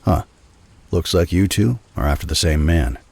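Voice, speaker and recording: A man acts a small but commanding police lieutenant in an audio drama. His voice is deep and husky. He speaks calmly and firmly, with quiet authority. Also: moderate pace, dry recording, full character voice. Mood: calm, persuasive. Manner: calm, firm, commanding.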